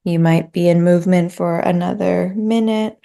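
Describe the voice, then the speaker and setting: A woman leading a guided meditation in a gentle, soothing, unhurried manner. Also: on the low side, fairly even intonation, vocal fry.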